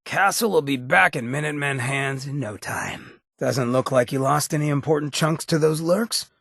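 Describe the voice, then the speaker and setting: An actor playing a roguish, streetwise ghoul in a video game, with a very raspy, gravelly voice and a cocky, laid-back, slangy way of talking. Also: male voice, on the high side, normal speed, fairly bright tone, no echo, studio quality, full character voice. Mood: approving. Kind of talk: video game companion dialogue.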